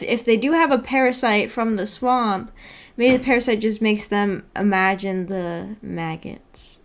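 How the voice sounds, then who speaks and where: A woman hosting a casual livestream discussion, musing tentatively out loud with a giggly manner. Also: on the high side. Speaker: host of a book club stream.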